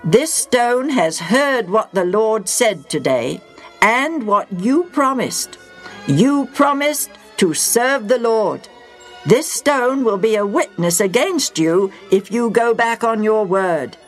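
A woman reading the part of a stern old male leader who speaks loudly and emphatically in a storybook reading. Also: a little high, lively intonation, slow pace, soft background music, voice pushed up. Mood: commanding. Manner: loud, emphatic preaching.

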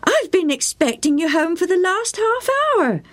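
A woman's voice: very high-pitched, animated, moderate pace, voice pushed up. A woman voicing a worried mother who fusses and scolds her son. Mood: alarmed. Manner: scolding, exclaiming. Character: fussing, worried country mother.

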